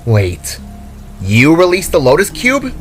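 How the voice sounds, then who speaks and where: A man voicing a worried, bitter young female cousin who explains things haltingly and emphatically in a dramatic story. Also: on the high side, huge pitch swings, a bit bright, faint music behind, voice pushed up, exaggerated voice. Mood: alarmed, disapproving.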